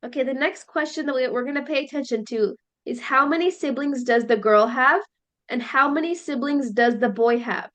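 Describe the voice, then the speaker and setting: A woman teacher leading a listening lesson, explaining slowly and checking in with her students. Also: high-pitched, fairly bright tone. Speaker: English teacher.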